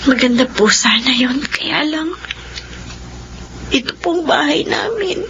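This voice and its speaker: A bitter, grieving young daughter in a radio drama. Her voice is very high and swings widely with tearful emotion. The recording is dull and lo-fi. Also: expressive, slow, noisy background, heavily acted. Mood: hesitant, sad. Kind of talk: Filipino radio drama acting.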